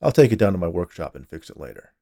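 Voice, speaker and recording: Guy doing a grumpy sarcastic husband, low husky gruff voice, audiobook character. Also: sing-song, fast talker, warm tone, no room sound, clean studio sound, full character voice. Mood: irritated. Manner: sarcastic, grumbling.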